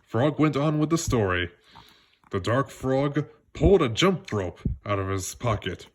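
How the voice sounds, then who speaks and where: A man reading a storybook aloud for children in a deliberate, expressive way. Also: medium pitch. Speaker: storybook reader. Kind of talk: children's read-aloud narration.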